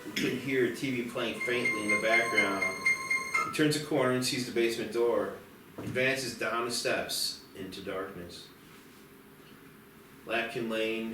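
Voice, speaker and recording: A man's voice: on the low side, unhurried, pushed and bright, slight background noise. A man reading scripted screenplay directions as the narrator of a table read. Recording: very echoey, distant, music behind.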